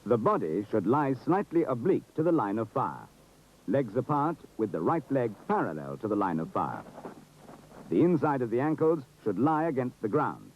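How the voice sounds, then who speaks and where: A man's voice: medium pitch, sing-song, moderate pace, a little hiss or hum. A British man giving formal, precise, authoritative scripted narration for an instructional film. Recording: dull, muffled 1970s film soundtrack.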